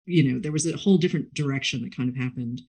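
A woman hosting a book interview, chatting enthusiastically in an effusive, expressive and rambling way. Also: a bass voice, rapid-fire, slightly rough. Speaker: interviewer, book show host.

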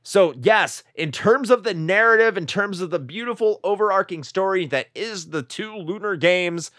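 A man co-hosting a gaming podcast, ranting in loud profane bursts in casual chat. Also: sits high, normal speed, no room sound, clean studio sound. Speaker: podcast co-host.

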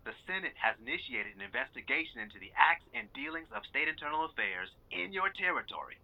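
A man's voice: a little high, expressive, fast talker, creaky. A man playing a blunt confidant who warns his friend, in an audio drama with a dull sound. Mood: urgent, blunt.

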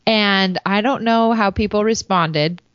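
A woman co-hosting a podcast in casual chat, telling stories with animated, acted-out quotes. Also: mid-range voice, unhurried, clear, resonant tone, no echo, dull audio. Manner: animated storyteller, acts out quoted voices. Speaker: podcast co-host.

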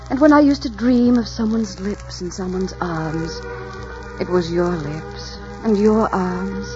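An actress playing a romantic, spirited young innkeeper's daughter in a dreamy, melodramatic 1940s radio style. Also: female voice, medium pitch, close to an everyday voice. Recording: muffled 1940s radio recording, music behind.

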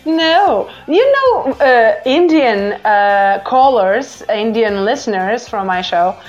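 A woman from Portugal with a Portuguese accent, a native Portuguese speaker, hosting a casual live call-in show in a halting way with repeated words. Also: on the high side, animated. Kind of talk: casual live chat with listeners. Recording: dull lo-fi app audio with music. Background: music.

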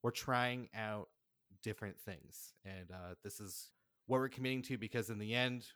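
Guy with a lowish voice, chatting earnestly as podcast guest, really dry close sound. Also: steady tone, normal speed, fairly bright tone, no room sound. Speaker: podcast guest, audio drama creator. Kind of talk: casual interview conversation.

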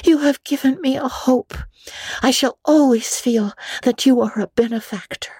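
A woman's voice: sits high, normal speed, mellow, husky, no room sound, pitched above the performer's own voice. A woman voicing a gushing, imaginative orphan girl with theatrical, earnest delivery in a storybook reading. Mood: hopeful, gushing. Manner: theatrical, effusive, earnest pleading. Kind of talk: dramatic audiobook reading.

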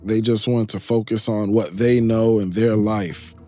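A man's voice: on the low side, fairly even intonation, a little slow, mellow, soft background music. A man from Chicago who spent part of his upbringing in Nigeria, speaking conversationally and emphatically as an interview guest, explaining as he goes. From Chicago, United States, partly raised in Nigeria.

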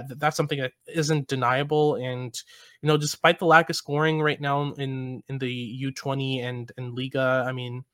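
A young man hosts a hockey podcast and breaks down draft prospects in an analytical way, with plenty of ums and restarts. His voice sits at an ordinary pitch and is recorded close and dry, with no room sound. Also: fairly bright tone, no room sound. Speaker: podcast host.

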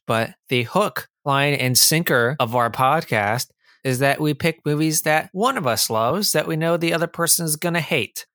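A man's voice: fairly high pitch, a bit bright, dry recording, clean studio sound. A man hosting a casual movie review podcast, bantering wryly with his co-host. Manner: wry, bickering with co-host.

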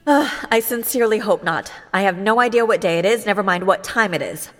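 A woman's voice: medium pitch, a little fast, a bit bright, lightly acted. An actress playing an anxious, practical woman captive in an audio drama, earnest and worried, in a reverberant space. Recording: reverberant enclosed space effect.

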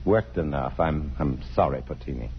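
An actor playing a restless, evasive husband and engineer in love, in a halting, earnest 1950s radio drama style. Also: male voice, extremely low pitch, a little hiss or hum. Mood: evasive, reluctant. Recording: muffled vintage radio broadcast, faint music.

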